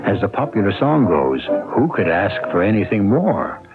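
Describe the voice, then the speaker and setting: A man's voice: fairly low pitch, big pitch swings, normal speed. A man hosting a radio mystery, reading scripted narration in a wry, theatrical storytelling manner. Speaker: host. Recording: tinny old radio broadcast, music behind.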